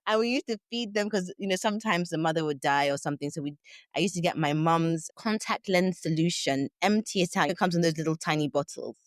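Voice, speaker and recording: Deep-voiced Nigerian woman telling stories. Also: a little fast, dry recording, clean studio sound. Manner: chatty and warm storytelling, frequent "like" fillers. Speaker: guest, fashion founder. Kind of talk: casual remote interview chat. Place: remote call from Lagos.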